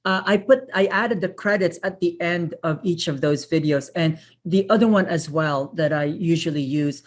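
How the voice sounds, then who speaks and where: A woman from the Philippines with a low voice hosts a webinar over a video call. She speaks in a casual, appreciative way, thanking people again and again and filling pauses with "uh" as she goes. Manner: warm, grateful, many fillers and thank-yous. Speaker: webinar presenter. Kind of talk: live webinar wrap-up.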